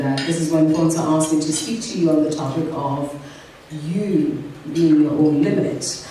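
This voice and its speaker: A woman's voice: low-pitched, mellow, a little hiss or hum. South African woman giving a hall speech. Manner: measured, earnest, reflective. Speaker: keynote speaker. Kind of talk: public speech at an awards evening. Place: event venue. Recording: echoey hall from far off.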